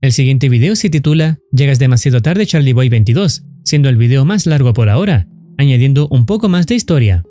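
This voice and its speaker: A man's voice: medium pitch, lively intonation, a little fast, mellow, soft background music. A man reading scripted narration for a horror explainer video.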